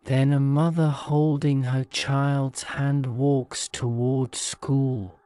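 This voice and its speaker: Slow, smooth man narrating a sleep story. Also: mid-range voice, little pitch movement, mellow, no room sound. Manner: soothing, measured.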